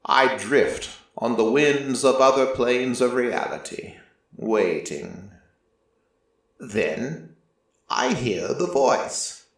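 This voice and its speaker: A man voicing an ancient, solemn god of prophecy in a dramatic first-person story, with deliberate pauses. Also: medium pitch, lively intonation. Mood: solemn, brooding. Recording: heavily echoing, distant-sounding voice.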